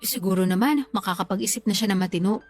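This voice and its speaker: A woman's voice: mid-range voice, quick pace, darkish tone, no echo, natural voice. A Filipino woman acting in a radio drama as a level-headed, supportive friend who reassures and gives advice. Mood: gently advising. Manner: reassuring, advising. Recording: background music under the voice.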